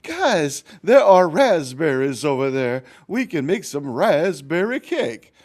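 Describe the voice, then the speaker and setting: A man's voice: on the high side, big pitch swings, takes it slowly, darkish tone, pitched above the performer's own voice, full character voice. A man voicing an eager, hardworking little female hen in a squealing, bouncy voice. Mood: excited.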